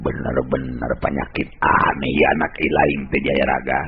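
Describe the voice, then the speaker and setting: Sly, lecherous old sorcerer, tinny radio. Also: male voice, extremely low pitch, flat delivery, gravelly, husky, voice pushed down, exaggerated voice. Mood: pondering. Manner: drawn-out gloating words, chuckles, coarse address. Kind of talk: Sundanese radio storytelling drama. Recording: narrow, hissy old radio recording.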